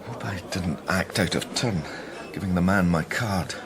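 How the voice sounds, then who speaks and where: A middle-aged Scottish psychic detective, played by a male actor in a radio drama. His voice sits very low and soft. He speaks hesitantly and reflectively, as if weighed down by self-doubt. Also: normal speed, crowd noise, lightly acted. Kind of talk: naturalistic radio drama acting with first-person narration.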